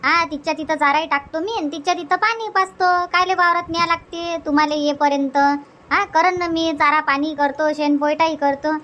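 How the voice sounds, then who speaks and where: A woman's voice: high-pitched, fairly even intonation, a little fast, sharp tone. A woman voicing a middle-aged, nagging but caring farm mother in an amateur cartoon comedy, with a rural Vidarbha Marathi accent. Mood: nagging, insistent.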